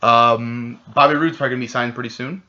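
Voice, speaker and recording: A man co-hosting a casual podcast chat, rambling and excitable, swearing freely. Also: medium pitch, fast talker, background noise. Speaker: podcast co-host. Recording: dull lo-fi podcast audio.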